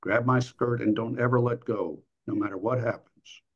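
A man's voice: medium pitch, fairly even intonation, moderate pace, husky, highs cut off. A man reading aloud the lines of a frightened young mother fleeing a fire, pleading and urgent.

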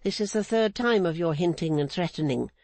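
A man's voice: a little high, lively intonation, a little mellow, no echo, voice pushed up. A man voicing a stern, resolute older woman who speaks firmly and defiantly. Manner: firm, measured, defiant.

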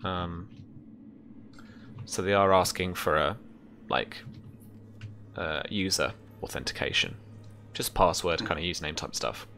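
A young man casually narrating as game master and explaining rules, in a hesitant, halting way, on a video call. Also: low voice, creaky, sharp tone, faint music behind. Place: indoor room at home, over video call. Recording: faint background noise.

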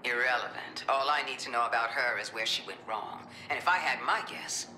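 A stern lady commander in a game, low voice, blunt and scornful, echoey room. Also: brisk, background noise. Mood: contemptuous. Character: stern, ruthless female government commander. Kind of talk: dramatic video game voice acting.

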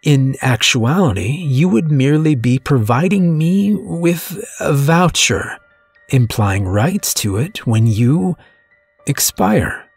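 A man voicing a pale, refined male stranger who bargains for souls, with a smooth, sharp, mock-polite drawl. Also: a little high, expressive, slow, no echo, faint music behind, heavily acted. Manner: taunting, giddy, drawling. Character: pale, refined, taunting male soul-dealer.

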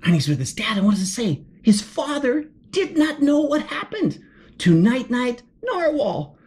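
Lively, high-voiced woman reading to kids. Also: normal speed, slight background noise. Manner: gasping, exclaiming, asking the young listener questions. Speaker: children's story reader. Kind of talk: animated children's read-aloud.

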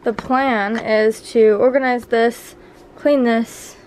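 A young woman vlogging to camera in her small apartment, chatting in a relaxed way with lots of fillers. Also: on the high side, slow pace. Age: young adult. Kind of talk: casual vlog chat to camera.

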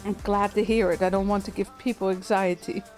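Soft-spoken woman streamer chatting. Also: mid-range voice, fairly quick, faint music behind. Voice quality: soothing voice. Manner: relaxed, chatty, frequent little laughs and fillers. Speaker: game streamer. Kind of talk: casual gameplay commentary, chatting with viewers.

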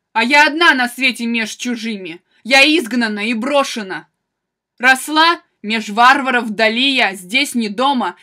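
Casting: actress for wronged, vengeful wife in classical tragedy. Higher female voice, very slow, declamatory delivery, proud and bitter, intense. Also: bright, forceful tone. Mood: desolate, pleading. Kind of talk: theatrical classical tragedy monologue.

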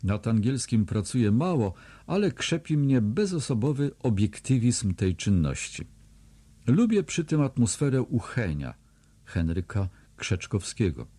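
A man's voice: medium pitch, sing-song, unhurried, warm tone, breathy edge. A man reading diary entries aloud as an audiobook narrator, with a dry, sardonic delivery that lands hard on the insults. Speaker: audiobook reader.

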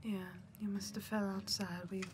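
A young woman playing an uneasy, politely pushy rural woman in a soft-spoken, hesitant ASMR roleplay. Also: medium pitch, level tone, normal speed, background noise, close to an everyday voice. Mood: hushed concern. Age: young adult. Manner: hushed, hesitant, murmuring. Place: dim indoor room. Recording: close recording with music behind.

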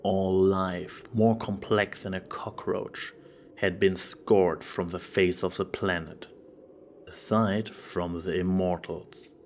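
A man's voice: sits low, steady tone, takes it slowly, a little hiss or hum. A man reading scripted story narration.

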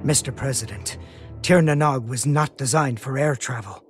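An actor playing a solemn, soft-spoken mage burdened by regret, with formal, measured speech in an animated game cutscene. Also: male voice, mid-range voice, natural voice. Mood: grave, explaining. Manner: formal, measured, articulate wording. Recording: dramatic music under the voice.

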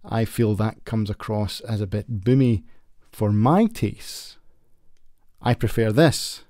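A man's voice: fairly low pitch, slow, mellow, no echo. A man in his thirties giving a casual microphone review from a small home studio, talking plainly and modestly with some fillers. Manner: plain, unpolished, self-deprecating talk with fillers. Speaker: tech reviewer. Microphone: large studio condenser mic close up, headphones on.

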